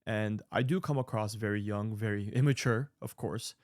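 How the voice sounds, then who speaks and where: A man's voice: on the low side, moderate pace, dry recording, clean studio sound. A man in his late twenties giving casual, earnest advice to viewers, rambling with fillers and restarts. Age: 20s. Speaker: online creator answering viewer mail.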